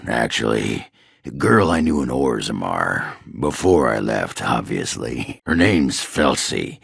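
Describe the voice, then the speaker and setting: Very deep, gravelly dwarf warrior. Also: male voice, fairly bright tone, dry recording, clean studio sound, heavily acted. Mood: awkward, gruff. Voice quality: gravelly, raspy growl. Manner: coarse, blustering, grumbling with hesitant mumbles. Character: gruff, crude, boozy male dwarf warrior, cantankerous. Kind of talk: video game character dialogue.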